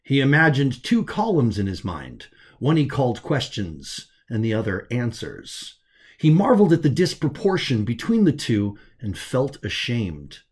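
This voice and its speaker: A man reads scripted science fiction narration as an author, in a measured way with dramatic pauses. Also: medium pitch, big pitch swings, husky. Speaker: author narrating own story.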